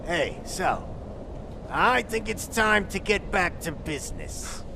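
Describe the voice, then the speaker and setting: An actor playing a manic, cocky drug gang boss in a video game, theatrical, taunting and foul-mouthed. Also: male voice, sits high, very animated, takes it slowly, gravelly, background noise, heavily acted. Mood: smug. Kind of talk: video game cutscene acting. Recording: loud music behind.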